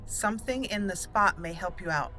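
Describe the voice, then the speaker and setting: A woman hosting a roleplay game playthrough, commenting and reading aloud deliberately as she thinks. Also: fairly low pitch, pushed and bright. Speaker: let's play host. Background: game music.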